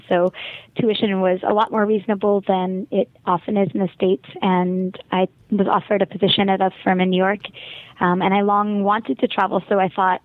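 A woman's voice: mid-range voice, no echo. A woman from Montreal with a Canadian accent, a guest talking about her travels in a thoughtful, articulate way and laughing easily. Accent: Canadian English. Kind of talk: phone-in interview. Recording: tinny phone line.

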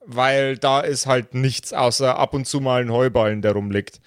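A man's voice: mid-range voice, fairly even intonation, smooth, no room sound, studio quality. Hesitant man narrating as game master. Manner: hesitant, pausing mid-sentence. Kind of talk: improvised tabletop narration.

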